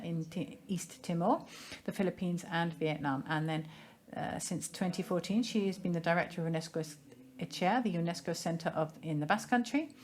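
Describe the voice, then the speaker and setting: A woman giving a simultaneous interpretation of a seminar in a measured, formal way, pausing now and then to find her phrasing. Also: lowish voice, a little mellow. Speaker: conference interpreter.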